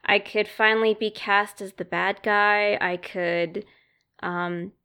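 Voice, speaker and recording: Bright-voiced woman voice actor chatting. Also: fairly even intonation, takes it slowly, clean tone. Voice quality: higher voice. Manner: chatty, filler-heavy, easygoing. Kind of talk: casual podcast chat.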